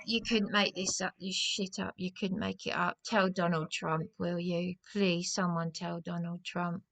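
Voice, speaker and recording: A woman's voice: fairly low pitch, little pitch movement, measured pace, gravelly, a bit bright. A distressed woman making a complaint as a caller, agitated and insistent, repeating her phrases.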